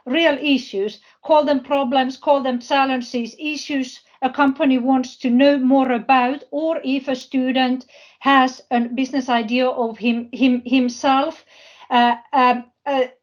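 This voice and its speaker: A woman giving an unscripted presentation at an online business forum, speaking haltingly and often searching for words. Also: high voice, flat delivery. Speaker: presenter, university project representative. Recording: dull, lo-fi online call audio.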